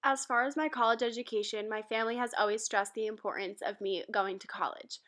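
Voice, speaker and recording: A young woman from Rhode Island narrates a school presentation. She reads from a prepared script in a steady, careful way. Her voice is bright and sits a little high. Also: a little fast. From Rhode Island, USA. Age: young adult. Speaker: university student.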